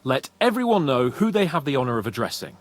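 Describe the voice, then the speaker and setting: An actor playing a proud, hot-headed young nobleman in a medieval video game, haughty and expressive. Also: medium pitch, quick pace, close to an everyday voice. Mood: haughty, defiant. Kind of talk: video game cutscene acting.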